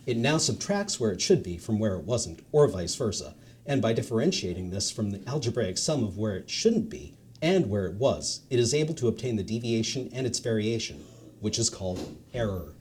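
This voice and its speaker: A man playing a dry, matter-of-fact narrator of a technical instructional recording, speaking formally and in measured phrases. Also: on the low side, big pitch swings, darkish tone, slight background noise. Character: dry instructional narrator explaining missile guidance.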